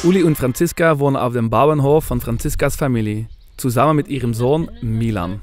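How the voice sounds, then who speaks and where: A man's voice: medium pitch, brisk, music in the background. A man from Belgium with a Belgian accent, hosting and narrating a travel documentary series in a scripted first-person style.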